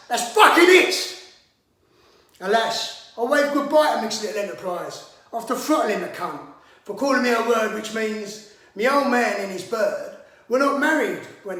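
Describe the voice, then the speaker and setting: A man's voice: high voice, a bit bright. A man performing a theatrical monologue as a hot-tempered, swaggering brawler with mock-grand, sweary speech, in an echoey room. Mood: indignant, angry, then boastful, wry. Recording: echoey room, distant microphone.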